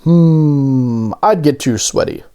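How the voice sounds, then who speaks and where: A man voicing a mild, kindly, hesitant grown man in a storybook, with gentle, drawn-out phrasing. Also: on the high side, smooth. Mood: reluctant.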